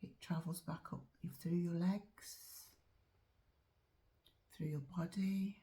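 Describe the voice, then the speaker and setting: A woman guiding a meditation in a soft, often whispered voice, slow and drawn-out, a little chesty as she is losing her voice. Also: fairly low pitch, steady tone. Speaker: meditation teacher. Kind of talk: guided meditation.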